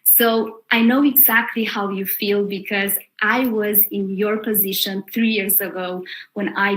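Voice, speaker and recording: A woman hosting a live webinar, welcoming the audience in an upbeat, deliberate way. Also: medium pitch, a bit bright, low-quality recording. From Romania. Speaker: webinar host.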